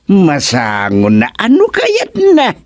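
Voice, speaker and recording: A man's voice: on the high side, wildly swooping pitch, slow pace, no echo, clean studio sound, full character voice. A man with an Ilocano accent playing a jovial, bantering storyteller in a radio comedy drama. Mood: amused.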